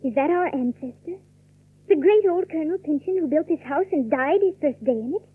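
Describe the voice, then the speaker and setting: A woman's voice: sits high, lively intonation, fairly quick, dry recording. An actress playing a polite, eager, wide-eyed young country girl in a radio drama, earnest and deferential. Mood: wondering. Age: young adult. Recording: dry, muffled telephone-like old radio sound.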